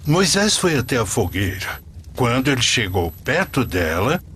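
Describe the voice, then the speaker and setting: A man narrating a scripted religious story as a storyteller, in an expressive, deliberate way. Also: mid-range voice, slight background noise.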